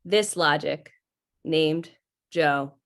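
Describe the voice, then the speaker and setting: A woman voicing an impassive, methodical computer in a 1950s radio play, reciting formally and deliberately. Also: deep voice, a bit bright, heavily acted. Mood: impassive, informative. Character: methodical, impassive talking computer named Joe.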